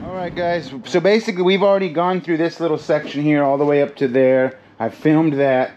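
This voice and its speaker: A man's voice: on the high side, level tone, brisk, a little mellow, highs cut off. Chatty man vlogging at a storage lot. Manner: chatty, joking, teasing. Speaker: storage unit reseller and vlogger. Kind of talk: casual running commentary to camera. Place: outdoors at a shipping container in a storage lot. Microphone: action camera worn on the body. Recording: noisy outdoor camera audio.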